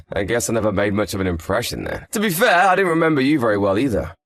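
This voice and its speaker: A man's voice: medium pitch, sing-song, fast, soft background music. A man playing an earnest, frank young husband in scripted story dialogue, speaking expressively. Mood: chatty, frank.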